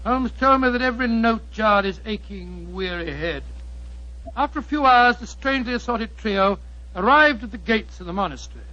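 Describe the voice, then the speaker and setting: A man's voice: sits high, sharp tone. An actor playing an earnest English doctor narrating his friend's adventure dramatically, with a British accent, in 1940s radio style. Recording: dull 1940s radio recording.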